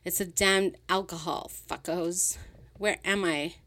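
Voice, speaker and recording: A drunk, unstable young woman, put on by a woman reading a story, voice pitched up and pushed loud. She hurls sarcasm and breaks into shrill rants. Her tone stays bitter and wild. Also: fairly even intonation, unhurried. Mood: tearful.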